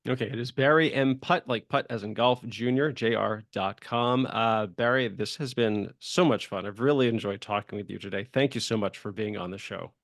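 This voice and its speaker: A man's voice: on the low side, normal speed, hardly any pauses, a bit bright, dry recording, studio quality. A man hosting a podcast interview in a casual, conversational style, rambling a little and affirming as he goes. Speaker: podcast host and screenwriter.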